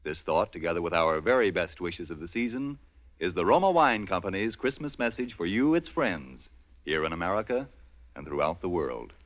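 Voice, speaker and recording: A man reading a sponsor message as a 1940s radio announcer, with solemn, measured oratory. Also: sits low, very animated, a bit bright, no echo.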